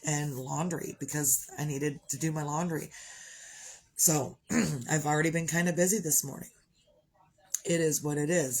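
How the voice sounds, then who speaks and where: A woman with a congested voice who keeps clearing her throat, talking on a live video in a chatty, upbeat, rambling way about gratitude and her morning. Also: sits low, rough recording. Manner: chatty, upbeat, wanders off topic. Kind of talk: rambling motivational chat.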